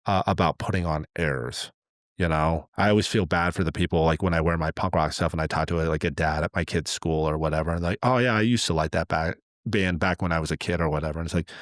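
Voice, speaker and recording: A middle-aged man in casual, reflective podcast conversation, earnest and a little halting as he thinks aloud. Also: deep voice, no room sound, studio quality. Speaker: podcast host.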